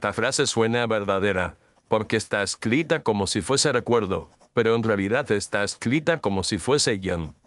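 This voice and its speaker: A man playing a calm, incisive detective in an audio drama, speaking in a measured, analytical way. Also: on the low side, dry recording. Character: calm, incisive male detective, precise reasoner.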